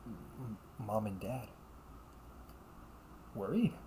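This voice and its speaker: A man's voice: on the low side, lively intonation, takes it slowly, noisy background. A man acting as a young delinquent older brother in an audio roleplay, talking in a casual, slangy, needling way. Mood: suspicious, sarcastic.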